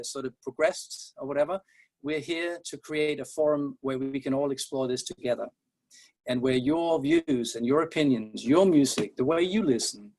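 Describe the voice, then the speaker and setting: A middle-aged man from Denmark with a Danish accent, giving a college music lecture in a discursive, wry manner with self-deprecating jokes. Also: medium pitch. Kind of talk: opening class lecture.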